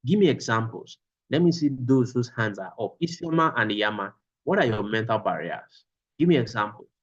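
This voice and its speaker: A man's voice: medium pitch, animated, normal speed, dull audio. A man teaching a class over a video call, repeating his phrases for emphasis. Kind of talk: online class lecture.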